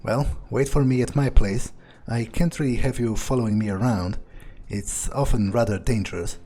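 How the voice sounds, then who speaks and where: A man role-playing a sardonic male vampire who thinks aloud in a halting, musing way during a game playthrough. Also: medium pitch, mellow, faint music behind, close to an everyday voice. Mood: condescending. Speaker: gamer role-playing a character. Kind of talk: in-character improvised game playthrough monologue.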